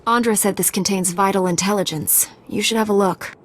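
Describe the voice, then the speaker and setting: An actress playing a confident, composed young warrior knight in a video game, with a dry, self-assured manner. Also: female voice, mid-range voice, fairly even intonation, brisk, close to an everyday voice. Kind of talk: dramatic video game acting.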